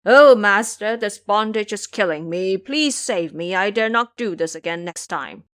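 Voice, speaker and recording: Woman putting on a loud, whining foolish pig-man. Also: medium pitch, animated, measured pace. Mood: pleading. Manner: loud, pleading, exclamatory. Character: foolish, whining, lustful male pig monk disciple.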